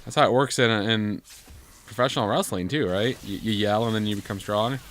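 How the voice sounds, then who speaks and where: A low-pitched man talks casually as he plays a video game with a co-host. He rambles and jokes, starting sentences haltingly, over faint background noise on a home recording. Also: fairly bright tone. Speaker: gaming video co-host and player. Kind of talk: casual banter while playing a game. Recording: noisy home gameplay recording.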